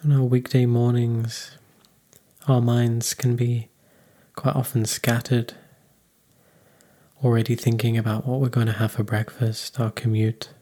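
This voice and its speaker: A man leading a guided meditation in a calm, unhurried, soothing manner. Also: medium pitch.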